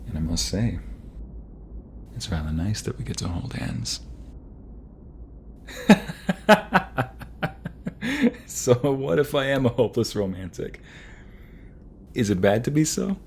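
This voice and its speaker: A man's voice: fairly low pitch, big pitch swings, a little slow, long pauses, a little mellow, a little hiss or hum. A man playing a young romantic mobster, protective and teasing, in an intimate ASMR audio roleplay. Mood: tender, teasing.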